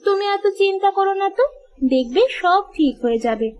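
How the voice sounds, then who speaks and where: A woman as a very high-pitched village wife. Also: animated, a little fast, darkish tone, muffled recording. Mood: soothing. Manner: coaxing, questioning. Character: caring, nagging village farmer's wife. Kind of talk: cartoon moral-story dialogue.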